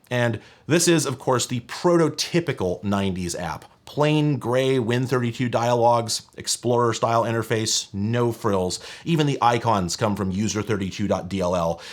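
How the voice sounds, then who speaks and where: A man hosting a tech explainer video in a conversational, wry, nerdy way, as if talking straight to viewers. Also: fairly low pitch. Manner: casual, wry, nerdy technical detail. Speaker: host of a retro tech video channel.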